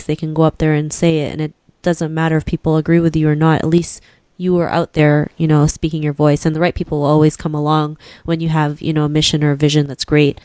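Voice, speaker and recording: A woman's voice: sits low, a little mellow, smooth, no echo. A woman from the Philippines hosting a podcast interview, encouraging, with many "you know" fillers. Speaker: podcast host.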